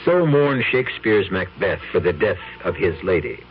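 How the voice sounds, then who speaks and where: A man hosting a radio mystery drama, reciting Shakespeare in a measured, theatrical declamation from an old broadcast. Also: sits low, very animated, lo-fi sound, soft background music.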